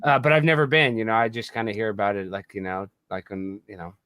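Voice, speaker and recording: A man chatting casually as a podcast guest, telling stories in a slangy, excitable, rambling way. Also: on the low side, fast talker, clear, resonant tone, dry recording. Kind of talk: casual chat.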